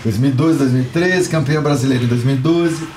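A man's voice: medium pitch, quick pace, darkish tone, a little hiss or hum. A man with a Brazilian Portuguese accent hosting a podcast, joking and laughing as he reads a guest's introduction. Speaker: podcast host. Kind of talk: playful podcast banter.

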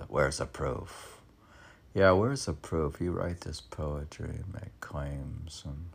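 Very deep, gravelly man, halting and musing. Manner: halting, musing, talks back to himself. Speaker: poet reading own poems aloud. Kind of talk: casual poetry reading with rambling self-commentary.

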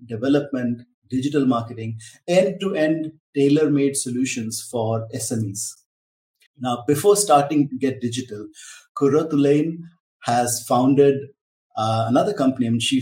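A man hosting a podcast interview, introducing his guest and asking questions with some halting restarts. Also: medium pitch, darkish tone, echoey room, low-quality recording. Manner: halting, stumbling over words. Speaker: podcast host.

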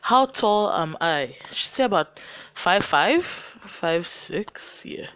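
A man's voice: high-pitched, lively intonation, slow pace, bright, forceful tone, clean tone, no echo. Tired Nigerian teen guy podcasting. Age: teens. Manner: chatty, rambling, self-mocking asides. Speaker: podcast host. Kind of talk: casual solo podcast, get-to-know-me questions.

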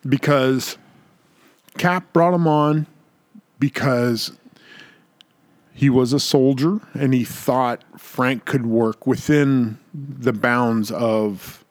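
A man's voice: medium pitch, very slow, pauses often, no room sound. A man co-hosting a podcast in casual, opinionated chat, making points emphatically and repeating words for stress. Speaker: podcast co-host.

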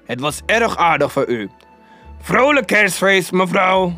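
A man voicing a hearty, exclamatory old man, giddy and generous with a mock-gruff streak, in a storybook reading. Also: sits high, sing-song, slow pace, pushed and bright, faint music behind, voice pushed up, heavily acted. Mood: cheerful, polite.

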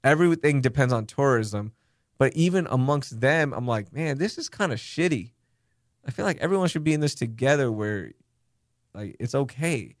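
A young man, born in Pakistan, hosting a casual podcast chat, telling candid, animated stories with some swearing. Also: medium pitch, moderate pace, dry recording, studio quality. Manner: candid, animated storytelling with profanity. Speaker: podcast host.

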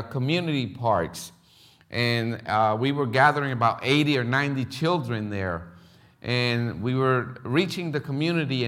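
Middle-aged man from Puerto Rico, Philadelphia accent; missionary testimony in church, halting, lots of fillers, mid pitch. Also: fairly bright tone. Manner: halting, many fillers and restarts.

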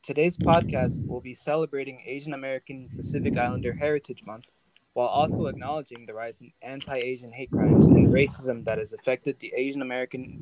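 A man's voice: medium pitch, heavy background noise. A man hosting a casual podcast, talking loosely and rambling, with many "like"s. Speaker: podcast host.

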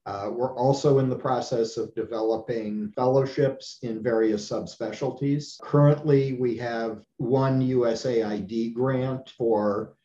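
A man from the United States, an eye surgeon being interviewed, explaining his work in a measured way with frequent pauses. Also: mid-range voice, fairly even intonation, warm tone, echoey room, dull audio, a little hiss or hum. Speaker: ophthalmologist guest. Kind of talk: conversational interview.

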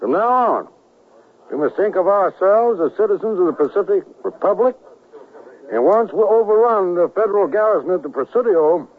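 Stern conspiracy leader, thin radio sound. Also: male voice, high-pitched, animated, normal speed, breathy edge. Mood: rousing. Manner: oratorical, authoritative. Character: stern secessionist conspiracy leader, commanding and menacing. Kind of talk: radio drama acting. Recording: narrow-band vintage radio recording.

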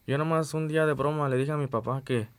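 A man's voice: on the high side, fairly even intonation, quick pace, slight background noise. A man in his early twenties from the United States, chatting casually as an interview guest. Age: early 20s. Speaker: accordion player, interview guest. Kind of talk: casual chat.

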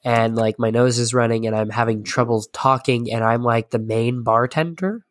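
A man hosting a casual podcast, hoarse and stuffy from a sinus infection, chatting in a rambling, self-deprecating way. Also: mid-range voice, steady tone, normal speed, no room sound, studio quality. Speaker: podcast host.

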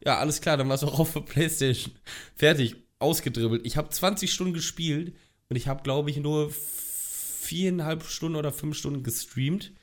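Young guy, hoarse and sick, grumbles and swears his way through a chat. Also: fairly high pitch, moderate pace. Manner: rambling, swears freely, complains. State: hoarse from a cold. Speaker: podcast co-host. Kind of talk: casual chat.

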